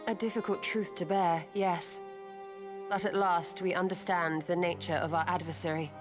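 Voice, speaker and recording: A composed, learned fantasy woman played by an actress with a lowish voice. She speaks formally and in measured, earnest phrases, and game music is clearly audible behind her. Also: fairly even intonation, lightly acted. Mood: solemn. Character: grave, composed, scholarly woman of a fantasy order. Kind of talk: scripted fantasy game dialogue.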